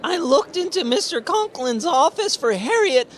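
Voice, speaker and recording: A woman playing an excitable, high-pitched teenage schoolboy. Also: moderate pace. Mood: excited, astonished. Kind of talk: old-time radio comedy acting.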